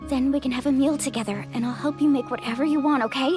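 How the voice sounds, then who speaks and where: Woman as high-voiced, commanding young female leader. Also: fairly even intonation, fast, over music, close to an everyday voice. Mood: reassuring. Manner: earnest, decisive. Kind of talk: anime game voice acting.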